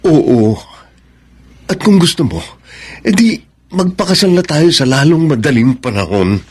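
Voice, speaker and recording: A Filipino actor with a husky voice playing an earnest, devoted lover in a romantic radio drama. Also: male voice, a little high, very animated, unhurried, heavy background noise. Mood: ardent. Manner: earnest, pleading. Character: earnest, devoted male lover explaining himself.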